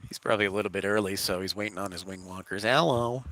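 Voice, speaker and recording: Bright-voiced man with a head cold. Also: on the low side, quick pace. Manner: chatty, welcoming viewers. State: congested with a head cold, sore throat. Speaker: livestream host, aviation spotter. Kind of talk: casual live stream commentary and chat. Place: live at an airport.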